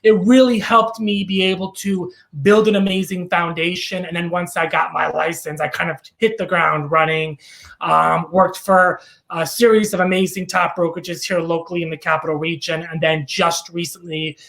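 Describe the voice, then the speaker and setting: A man's voice: high-pitched, level tone, normal speed, gravelly, fairly bright tone. A man in his thirties with a New York accent, a real estate broker giving casual, rambling interview answers full of ums and restarts. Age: 30s.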